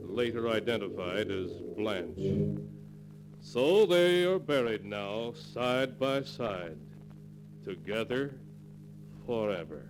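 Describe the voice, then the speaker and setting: A man narrating as a 1940s radio horror announcer, solemn and theatrical, reading a script on a noisy vintage recording. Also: lowish voice.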